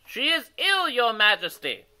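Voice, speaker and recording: A small storybook messenger, eager to please the throne. The voice is very high and reedy, piercingly bright, and formally obedient. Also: male voice, huge pitch swings, measured pace, clear, resonant tone, exaggerated voice. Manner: formal, deferential.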